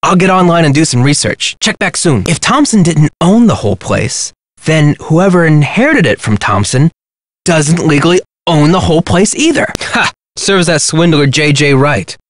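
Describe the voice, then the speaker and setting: A man's voice: on the high side, expressive, normal speed, no room sound, close to an everyday voice. Guy doing a preachy hippie activist for a video game, slangy n theatrical, voice swings all over, dry studio sound. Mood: earnest, eager. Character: zealous, wisecracking male political activist with hippie slang. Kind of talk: video game character dialogue.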